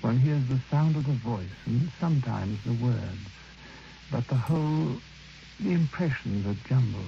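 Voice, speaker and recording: An actor playing a reflective, haunted man who narrates his own tale in a measured, literary, solemn radio drama style. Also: medium pitch, expressive, creaky, warm tone. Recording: noisy, dull old radio recording.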